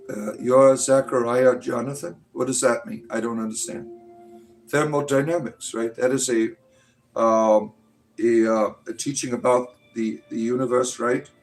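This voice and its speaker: A man's voice: medium pitch, rough recording. A man in his late forties hosting a livestream, rambling loosely and reading out viewer comments, often ending with "right?". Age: 40s. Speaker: livestream host.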